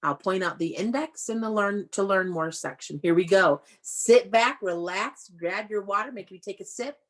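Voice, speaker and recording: A woman's voice: medium pitch, normal speed. Woman teacher reading aloud to children. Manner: animated, explanatory, addressing children with questions. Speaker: elementary school teacher. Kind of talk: read-aloud lesson to young children.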